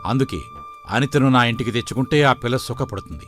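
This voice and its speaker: A man's voice: mid-range voice, quick pace, a bit bright, faint music behind, natural voice. A man playing a greedy, domineering middle-aged father in a moral story cartoon. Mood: smooth, evasive.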